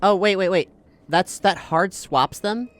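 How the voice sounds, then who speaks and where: A man playing a tabletop game, thinking aloud in halting bursts and swearing now and then. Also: sits high, a bit bright. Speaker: player. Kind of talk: casual tabletop play.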